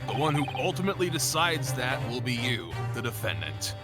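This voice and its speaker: A man voicing a grave, mysterious adviser in a video game dub, speaking in a measured, formal and ominous way. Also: mid-range voice, sing-song, music in the background, natural voice. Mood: solemn. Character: grave, mysterious adviser giving cryptic legal warnings.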